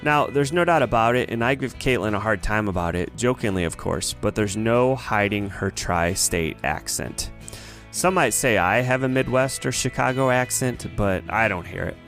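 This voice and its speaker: A man's voice: on the low side, moderate pace, fairly bright tone, faint music behind. A man hosting a podcast, asking questions with friendly hesitations and reading a closing outro. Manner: friendly, with frequent yeahs and hesitations. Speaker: podcast host. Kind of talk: casual interview, then scripted outro.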